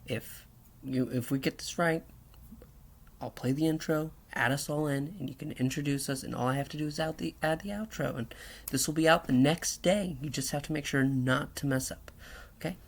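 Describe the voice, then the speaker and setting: Creaky-voiced man giving instructions. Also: on the high side, moderate pace. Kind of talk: informal off-air instructions.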